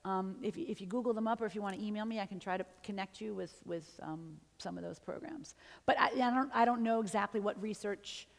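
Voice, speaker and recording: A middle-aged woman from New York, a university professor, answering questions after her talk in a chatty, animated way. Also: mid-range voice, brisk, gravelly. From New York, USA. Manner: chatty, animated, laughs easily. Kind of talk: lecture and audience Q&A. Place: large auditorium stage. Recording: long hall reverb from the auditorium.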